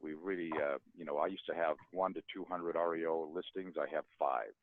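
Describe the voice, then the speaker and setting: A man's voice: rumbling low, fairly quick. An older man, a real estate broker, chatting casually on a phone conference call. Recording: noisy phone line with clicks.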